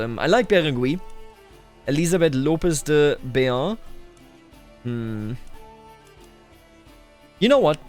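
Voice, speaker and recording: A man with a slightly high-pitched voice narrates a strategy game in roleplay style. He speaks to the characters and to himself, slipping into theatrical proclamations. Music plays softly behind him. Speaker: gaming YouTuber.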